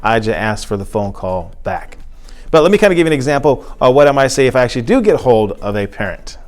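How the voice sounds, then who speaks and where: A man's voice: medium pitch, very animated, quick pace, a little mellow. Conversational man explaining as a teacher. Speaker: teacher and presenter. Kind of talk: informal instructional talk to camera.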